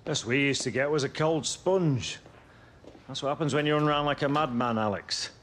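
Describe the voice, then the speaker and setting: An actor playing a stern, blunt middle-aged football manager in a video game cutscene. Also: male voice, medium pitch, moderate pace, a bit bright, a little hiss or hum, lightly acted. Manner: blunt, no-nonsense.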